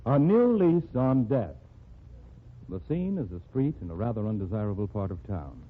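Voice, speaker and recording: A man narrating as announcer in 1940s radio style, measured and formal. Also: lowish voice, very animated, a little hiss or hum. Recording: tinny old radio broadcast with music.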